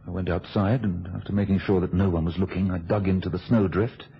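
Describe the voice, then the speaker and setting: A man's voice: low-pitched, fairly even intonation, brisk, creaky, slightly rough, heavily acted. An actor playing a troubled, guilt-burdened man in love, hesitant and intense in an old radio drama. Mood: tense, doubtful. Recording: dull, noisy old radio recording.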